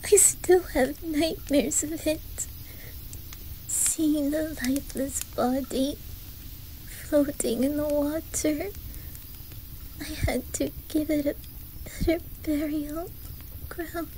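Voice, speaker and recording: A woman voicing a shy, traumatized young boy with a Swedish accent in a soft, whispery, hesitant ASMR roleplay. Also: sits high, steady tone, slight background noise, low-quality recording. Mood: grieving. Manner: hesitant, halting, gentle.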